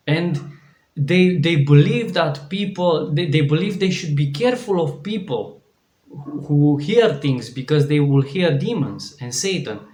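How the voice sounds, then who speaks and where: Earnest Romanian-accented male preacher, fairly high voice, slow and deliberate, restarting phrases, in a reverberant room. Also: a little mellow. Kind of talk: devotional sermon. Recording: echoey room.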